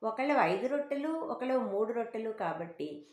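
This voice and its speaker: A woman voicing a puzzled male king weighing a judgement in a measured way in a children's moral story. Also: a little high, expressive, natural voice. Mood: deliberating. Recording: roomy, echoing home recording.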